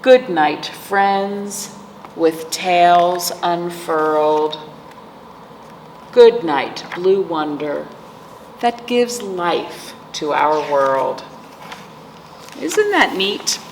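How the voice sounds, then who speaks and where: A woman hosting a storytime for children, reading aloud and chatting in a gentle, playful manner to young listeners. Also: lowish voice, expressive, very slow, pauses often. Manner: gentle, playful, addressing young viewers as friends. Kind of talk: read-aloud and chat for children. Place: indoors, room with aquamarine wall. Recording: echoey room with clear background noise.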